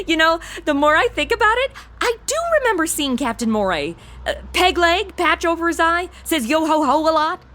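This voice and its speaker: A flippant young American woman as an actress plays her in a comedy audio drama. Her high, bright voice swings widely through slangy, exaggerated sarcasm, self-centered and brash. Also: expressive, normal speed, few pauses, full character voice. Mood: pleading, scheming.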